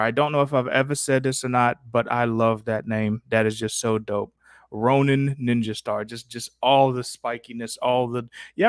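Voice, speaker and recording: A man's voice: mid-range voice, no echo, studio quality. A man hosting a live stream, chatting casually in an informal, slangy way.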